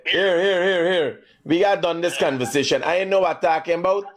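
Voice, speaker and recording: A Guyanese man in his forties hosting a call-in talk show, slow and reflective alone, loud and rapid when arguing. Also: high voice, a little hiss or hum. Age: 40s. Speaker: radio call-in host.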